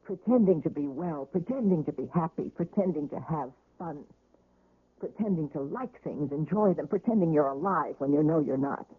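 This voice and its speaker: An actress playing a weary, despairing wife recovering from surgery, mournful and yearning, in a radio drama. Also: female voice, low voice, animated, normal speed, slightly rough, narrow, tinny audio. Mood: anguished, pleading. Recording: roomy, band-limited old radio recording.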